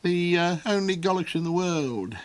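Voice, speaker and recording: A man's voice: high-pitched, lively intonation, moderate pace, dry recording, pitched above the performer's own voice. A man doing a dithering, chuckling old gnome of a man. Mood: cheerful. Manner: hesitant, full of ums, chuckling. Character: odd cheerful little old man, proud and riddling. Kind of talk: storybook reading.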